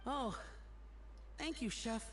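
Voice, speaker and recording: A woman's voice: on the high side, slow. A woman voicing a meek, overworked young boy helping in a kitchen in a video game, timid and hesitant. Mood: meek, nervous. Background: background music.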